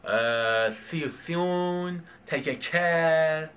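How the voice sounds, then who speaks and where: A young man with a Persian accent, speaking English as a second language, introducing himself in a halting, hesitant way full of pauses and fillers. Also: fairly high pitch, a bit bright. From Iran. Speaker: vlogger. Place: home in Tehran. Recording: distant mic, faint background noise.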